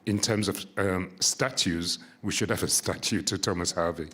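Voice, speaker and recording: A man's voice: low voice, moderate pace, fairly bright tone. A man on a discussion panel, talking informally about local history.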